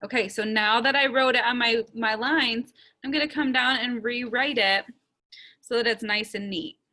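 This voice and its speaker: A young woman teacher recording a writing lesson for small children, sounding out each word slowly and stretching the letter sounds. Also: a little high, pushed and bright.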